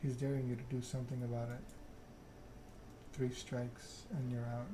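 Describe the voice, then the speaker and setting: A man reading a story-driven game aloud in an ASMR style, subdued and unhurried. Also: mid-range voice, little pitch movement, noisy background. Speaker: gaming video creator. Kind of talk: ASMR narration of a text-based game.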